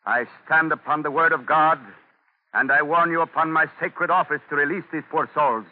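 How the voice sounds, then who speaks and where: Man playing stern, high-pitched righteous friar. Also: steady tone, normal speed, close to an everyday voice. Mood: stern, solemn. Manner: solemn, commanding preaching. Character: stern, righteous Spanish-port friar defending captives. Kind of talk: 1940s radio acting. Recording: muffled, noisy old 1940s radio broadcast.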